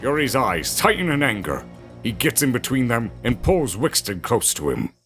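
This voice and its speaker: A man's voice: medium pitch, very animated, normal speed, a bit bright. A man narrating a scripted fantasy audio drama in a dramatic storytelling style. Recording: music under the voice. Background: music.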